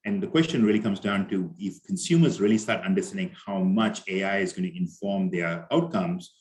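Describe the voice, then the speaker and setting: Indian-accented guy presenting at a conference over a video call, kinda low voice, lots of ums and restarts. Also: fairly quick, darkish tone. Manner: conversational, many fillers and restarts. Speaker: conference speaker.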